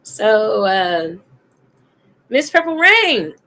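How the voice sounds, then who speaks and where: Hoarse, gravelly-voiced woman host. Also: big pitch swings, extremely slow, sharp tone, slight background noise. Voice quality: deep, rough voice. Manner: laughs often, coughs between lines. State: hoarse from illness. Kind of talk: casual chat show hosting.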